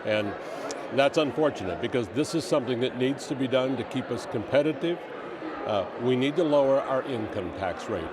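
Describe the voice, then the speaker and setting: A man's voice: medium pitch, lively intonation, moderate pace. A male state representative answering interview questions in an assertive, emphatic way. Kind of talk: political interview response. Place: large indoor statehouse lobby. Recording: noisy public lobby ambience.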